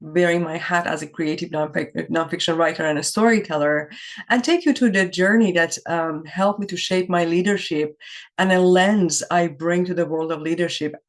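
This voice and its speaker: A woman's voice: on the low side, steady tone. Earnest woman from Iran, storytelling keynote. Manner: earnest, reflective, with pauses and self-corrections. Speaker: keynote speaker.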